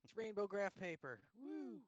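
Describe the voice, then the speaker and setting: A man's voice: high-pitched, sing-song, normal speed, no echo, dull audio. A man co-hosting a game playthrough with casual banter.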